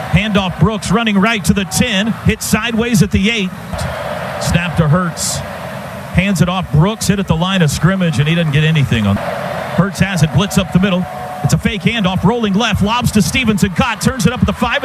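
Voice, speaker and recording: An American man calling football play-by-play live on radio in clipped running phrases that build to shouts on big plays. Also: sits high. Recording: noisy stadium radio broadcast.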